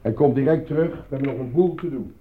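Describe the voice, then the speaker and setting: A man playing a businesslike boss in a radio drama, giving hurried instructions. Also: mid-range voice, breathy edge, close to an everyday voice. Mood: brisk, urgent. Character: brisk, businesslike male boss handing out urgent delivery orders. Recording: phone-like narrowband recording with faint noise.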